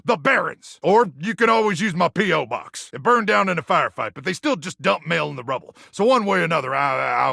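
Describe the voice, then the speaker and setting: An American man voicing a feral, preachy wasteland soldier in a video game, with a gravelly voice and a folksy, theatrical drawl. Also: high-pitched, sharp tone, no room sound, studio quality, heavily acted. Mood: scornful. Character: feral, zealous, bragging wasteland soldier of a household appliance. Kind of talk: video game character voice acting.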